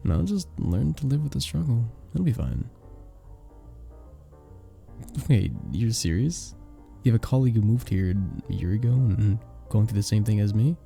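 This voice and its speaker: A very dark, velvety male vampire bartender acted out by a man in an ASMR roleplay. He is sweet, earnest and flirtatious, speaking softly and hesitantly. The pitch is low and swings expressively, with soft music underneath. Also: lively intonation, brisk, gravelly, hardly any pauses. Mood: earnest, hopeful. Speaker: audio roleplay performer. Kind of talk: ASMR romantic roleplay acting. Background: soft background music.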